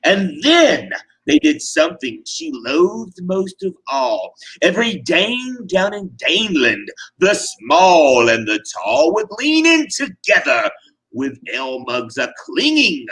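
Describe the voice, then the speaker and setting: A man's voice: high-pitched, very animated, takes it slowly, a bit bright, slightly rough, rough recording. A man narrating rhyming comic verse live, in a theatrical, emphatic, sing-song manner. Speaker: performer, narrator.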